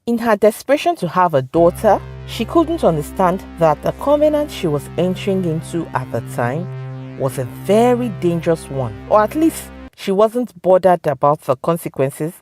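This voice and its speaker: A woman's voice: fairly low pitch, very animated, moderate pace, a little mellow, faint music behind. A woman with a Nigerian accent narrating a scripted folktale as a storyteller.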